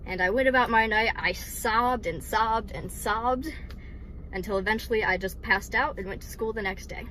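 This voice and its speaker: A woman's voice: a little high, moderate pace, sharp tone, slight background noise, low-quality recording. A woman in her twenties from Michigan, casually sharing her personal faith story with frequent laughs, ums and likes. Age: 20s. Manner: giggly, many ums and likes. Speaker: vlogger sharing testimony.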